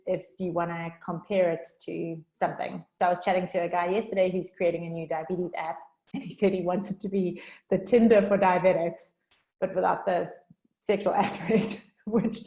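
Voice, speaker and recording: A woman with a South African accent giving a chatty workshop talk on a video call, talking fast and informally. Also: fairly low pitch. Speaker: workshop speaker. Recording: roomy echo.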